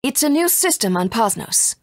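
A woman's voice: a little high, big pitch swings, normal speed, no room sound. A woman playing a composed, authoritative guide in a video game, serious and explanatory. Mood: decisive. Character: composed, authoritative woman guiding young heroes.

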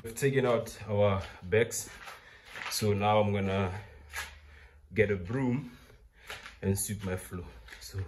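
Chatty South African farmer, low voice. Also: male voice, fairly even intonation, takes it slowly. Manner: chatty, joking, talks straight to viewers. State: out of breath from work. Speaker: poultry farmer vlogger. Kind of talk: casual vlog narration. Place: inside a car and a farm shed. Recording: music playing clearly behind.

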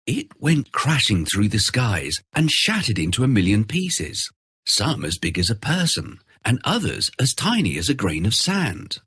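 A man narrates a children's fairy tale with theatrical flair. His voice is low and very raspy, and it swings dramatically in pitch. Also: measured pace, dry recording. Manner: expressive, theatrical storytelling.

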